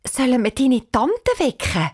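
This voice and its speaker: A woman's voice: high-pitched, normal speed, voice pushed up, lightly acted. A woman voicing a cautious, worried young girl in a children's story, with an anxious, unsure manner. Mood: timid. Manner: hesitant, anxious.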